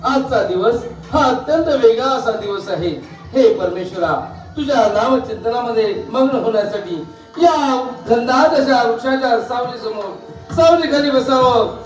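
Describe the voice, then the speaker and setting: A man's voice: very high voice, normal speed. A man playing a pious, solemn sage in folk theatre, declaiming grandly in a sing-song way. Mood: reverent. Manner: sing-song, grandly oratorical delivery with chanted invocations. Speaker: stage performer in a devotional mythological puppet play. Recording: echoey stage hall, far mic, music behind.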